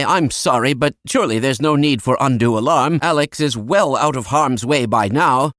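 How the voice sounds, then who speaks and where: A man's voice: mid-range voice, lightly acted. An actor playing an eccentric, fussy older male scientist in a video game, absent-minded yet kindly, speaking in wordy, formal, pedantic phrases. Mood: earnest, fascinated. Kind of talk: scripted video game dialogue.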